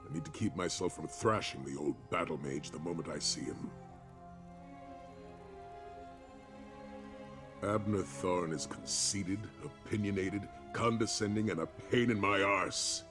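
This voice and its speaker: An actor playing a gruff, weary but loyal veteran warrior in a video game, talking in a measured, dry, formal way. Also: male voice, low voice, fairly bright tone. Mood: exasperated. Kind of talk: video game character dialogue. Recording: music clearly under the voice.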